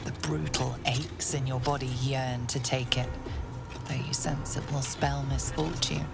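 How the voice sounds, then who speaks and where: A woman giving measured, ominous scripted narration for a game. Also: extremely low pitch, steady tone, gravelly. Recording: narration over loud music.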